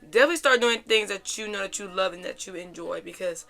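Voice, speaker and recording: Casual female vlog host, young adult, mid-pitched and bright with a slightly worn rasp, informal and chatty. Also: fast talker. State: hoarse after a weekend out. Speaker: podcast host.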